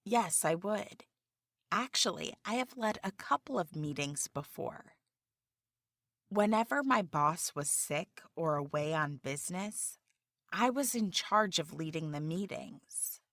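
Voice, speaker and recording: Slow, careful woman, dry studio sound. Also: on the low side, expressive, a bit bright, no echo. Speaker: job candidate in a practice interview. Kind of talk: scripted dialogue for English learners.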